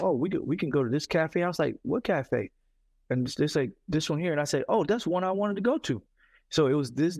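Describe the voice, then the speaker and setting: A man from Mississippi, telling stories in an animated casual conversation and often repeating himself. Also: mid-range voice, gravelly, dry recording. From Mississippi, United States. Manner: animated storyteller, repeats words, says "you know what I'm saying". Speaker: podcast host.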